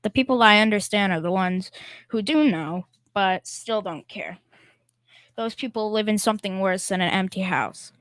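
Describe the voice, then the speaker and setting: A woman plays a good-natured family man in an old-time radio play. The voice is bright and sharp, at a medium pitch for a woman. The delivery is plain-spoken, halting and folksy, with a slightly timid streak. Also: natural voice. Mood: earnest. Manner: folksy, halting, repeats words. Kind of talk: old-time radio comedy-drama acting, amateur school performance.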